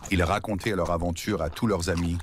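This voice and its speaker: A man narrating a scripted children's story as storyteller, in an expressive, dramatic way. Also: low-pitched, fairly quick, noisy background.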